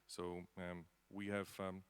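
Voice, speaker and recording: A man's voice: low voice, completely flat delivery, takes it slowly, clean tone. A man from the Czech Republic with a Czech accent, answering on a conference panel in a conversational way, with many fillers and tag questions. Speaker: panelist from a space agency.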